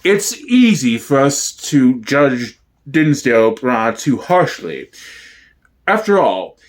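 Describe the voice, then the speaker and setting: A man playing a halting, ponderous apologist who muses on a gangster in a comedy sketch. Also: medium pitch, sing-song, pitched below the performer's own voice. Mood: musing. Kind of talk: comedy sketch dramatic reading.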